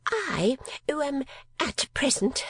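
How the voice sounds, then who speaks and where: A woman voicing a mincing, genteel landlady of fifty in a storybook reading, with a high, breathy, prim and affected manner and dainty little coughs. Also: big pitch swings, slow pace, no room sound, heavily acted. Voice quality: high and breathy, sometimes whispered.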